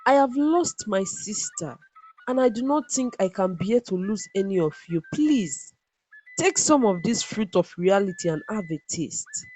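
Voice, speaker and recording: A scheming royal uncle from a storybook folktale, acted out by a woman narrator. He is smooth, deliberate and insinuating, with lively intonation. Soft music sits underneath. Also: mid-range voice, rough recording. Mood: grave, persuasive.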